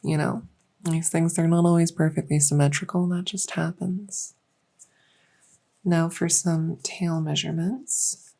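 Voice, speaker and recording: A woman playing a small, encouraging insect-folk clinician in an ASMR medical roleplay, soft-spoken, hesitant and matter-of-fact. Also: lowish voice, fairly even intonation, unhurried, vocal fry. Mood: focused, encouraging.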